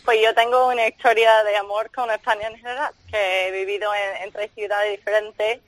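A woman with an American accent speaks Spanish as a second language in a radio interview. She answers with enthusiasm and laughs easily. Her voice sits at a middle pitch on a very dry studio mic. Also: flat delivery, fairly quick, dry recording, low-quality recording. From Washington, D.C., United States. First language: English. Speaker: guest, football school founder.

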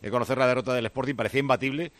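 A man anchoring a live radio sports broadcast at a rapid clip. He banters with his reporters and reels off scores and table positions. His voice sits in a dry, close studio sound. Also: medium pitch, fairly even intonation, a bit bright, dry recording. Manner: quick, bantering, jumps between reporters. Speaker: radio sports show host.

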